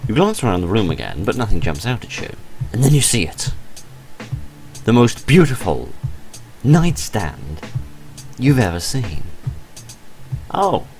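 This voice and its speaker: A man reading a visual novel aloud as a game host, mixing playful, dramatic delivery with casual commentary. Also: sits low. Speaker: host of a game playthrough channel. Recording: music behind the voice. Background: game music.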